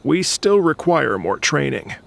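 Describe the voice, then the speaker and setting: A man voicing a proud, honorable senior swordsman in a video game, with formal, gruff delivery. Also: fairly high pitch, very animated, unhurried, very noisy, exaggerated voice. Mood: humbled, formal. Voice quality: gravelly. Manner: formal, declarative. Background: music.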